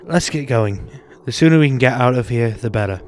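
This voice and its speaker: Guy playing a gentle calm wizard schoolboy, reassuring, audio drama, some noise behind. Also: medium pitch, brisk, voice pushed up, natural voice. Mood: firm. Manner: soothing, gentle. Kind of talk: dramatic reading of fan fiction.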